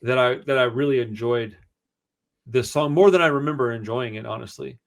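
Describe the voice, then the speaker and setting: Booming-voiced guy co-hosting a punk review show, slangy and rambly, middle pitch. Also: a little fast, creaky. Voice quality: booming, projecting voice. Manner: animated, slangy, rambling opinions. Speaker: podcast co-host. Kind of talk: casual music review chat.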